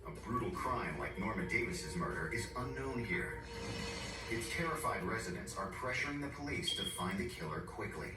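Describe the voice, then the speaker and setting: A man's voice: on the low side, lively intonation, normal speed. A man reading scripted true-crime narration as a documentary narrator. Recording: echoey distant TV playback under loud music. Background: loud background music.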